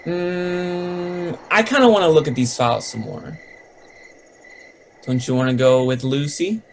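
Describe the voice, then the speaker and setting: A man's voice: a little high, measured pace, a little hiss or hum. A man playing a laid-back, teasing young stoner in a dramatic reading, rambling in casual slang. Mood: relaxed, coaxing.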